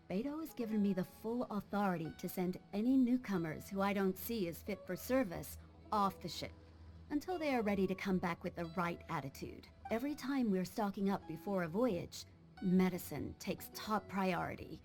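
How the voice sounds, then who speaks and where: Game medic, voiced by a woman: calm, earnest female sea surgeon, mid-pitched, measured and explanatory, soft music behind. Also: lightly acted. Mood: calm, reassuring. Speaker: ship's surgeon character. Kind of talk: video game voice acting. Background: background music.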